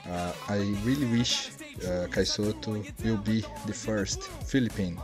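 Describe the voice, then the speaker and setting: Brazilian host in his forties, non-native English, slow and halting, low voice, headset mic in a home studio. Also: music in the background. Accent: Brazilian Portuguese. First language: Portuguese. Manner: halting non-native English with many fillers and repeated words. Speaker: channel host. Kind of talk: casual vlog address to viewers. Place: small indoor home studio.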